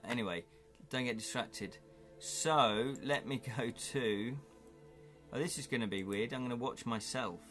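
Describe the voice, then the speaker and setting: A man with an English accent hosting a casual live stream, chatty and excitable, chuckling often as he unboxes things at home. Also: mid-range voice. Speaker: livestream host.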